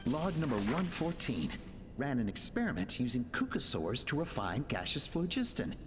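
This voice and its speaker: A man's voice: medium pitch, very animated, a little hiss or hum, lightly acted. Actor as an echoing, driven male inventor. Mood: proud, determined. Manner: earnest, deliberate log-keeping delivery. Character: ambitious, driven male inventor reading out his research log. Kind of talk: English video game voice acting. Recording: long reverb, game ambience behind.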